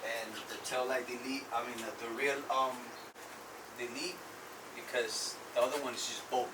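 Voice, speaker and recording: Chill guy from ecuador talking bikes, sounds far from the mic, loud noise outside. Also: medium pitch, normal speed. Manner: relaxed, says "bro" a lot, frequent restarts. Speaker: interviewee, vehicle owner. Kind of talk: casual conversational interview. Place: outdoors by vehicles.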